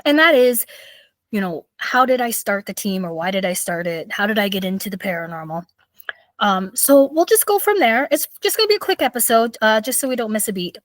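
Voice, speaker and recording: Raspy-voiced woman podcast host. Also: mid-range voice, lively intonation, a little fast, no room sound. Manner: chatty, rambling, with many fillers. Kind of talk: casual solo storytelling.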